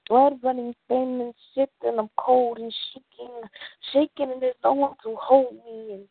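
A woman performs a confessional spoken word poem in a dramatic, deliberate way, with long pauses between phrases. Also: on the high side, phone-quality sound.

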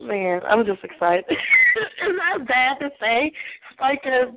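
A woman's voice: high-pitched, very animated, takes it slowly, noisy background. A woman from Nashville, hosting a radio talk show in giggly, effusive casual chat. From Nashville, Tennessee. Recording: tinny phone line, dry.

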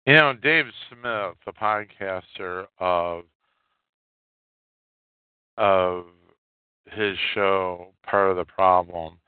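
A man hosting a solo podcast, giving unscripted political commentary in a halting way and repeating phrases for emphasis. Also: low-pitched, clear, resonant tone, no room sound. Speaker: podcast host. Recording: muffled, tinny phone-like sound.

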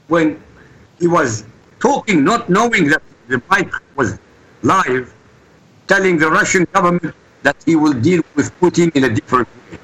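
A man's voice: high voice, fairly bright tone, breathy edge, poor audio. A man from Iraq, a guest answering interview questions in an emphatic, insistent way. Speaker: guest commentator.

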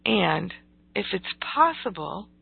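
Croaky woman teaching slowly over a phone-like line. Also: mid-range voice, big pitch swings. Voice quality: croaky, gravelly. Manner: deliberate, emphatic, repeats phrases. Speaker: spiritual class teacher. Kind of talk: slow motivational spiritual teaching. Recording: thin, phone-like audio.

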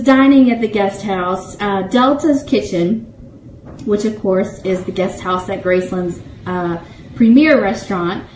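A woman with a fairly high voice presents show announcements in a chatty, enthusiastic way, speaking straight to her viewers. She sounds a little far from the mic in a clearly echoey room, with faint noise behind her. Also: darkish tone. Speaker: radio network show host. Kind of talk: upbeat livestream announcements and sponsor reads. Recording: roomy echo, distant mic, faint noise.

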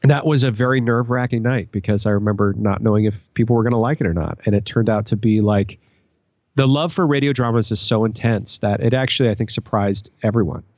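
A man's voice: fairly low pitch, fast, a little mellow, no room sound. A man speaking as a podcast guest in casual conversation, explaining things in an earnest way.

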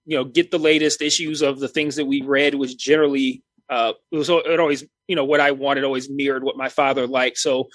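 A man's voice: medium pitch, flat delivery, a little fast, few pauses, dry recording. A man in his thirties chatting casually as a podcast co-host, rambling with many restarts and fillers. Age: 30s.

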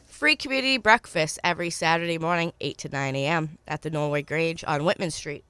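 A woman with a cold hosting a call-in swap show on the radio, reading listings and repeating phone numbers slowly and clearly. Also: low-pitched, sharp tone, no echo. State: stuffy from a cold.

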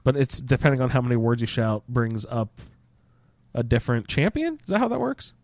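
A man hosts a casual gaming roundtable with an inquisitive manner, inviting others to weigh in. His voice sits at an average male pitch, and the sound is close and dry, with very little room in it. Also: expressive, brisk, darkish tone, dry recording. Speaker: podcast roundtable host. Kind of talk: casual roundtable discussion of a video game.

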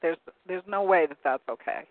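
Hoarse man on a tinny phone line. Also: high voice, a little fast, no echo. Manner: rambling, searching for words with many ums. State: hoarse, losing his voice. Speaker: call-in talk show host. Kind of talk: casual talk radio commentary, reading from web pages. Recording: narrow phone-like line, dry.

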